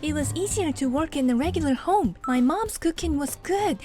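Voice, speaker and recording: A woman's voice: high-pitched, animated, normal speed, a little mellow. A woman playing an earnest teenage single mother, narrating her own story in a dubbed manga drama. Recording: music behind the voice. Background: music.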